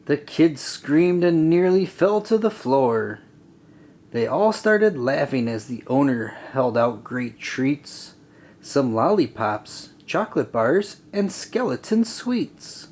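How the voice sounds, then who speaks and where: A man reading a rhyming children's story aloud in an expressive, deliberate, sing-song way. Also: fairly high pitch. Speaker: storybook reader. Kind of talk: children's read-aloud narration.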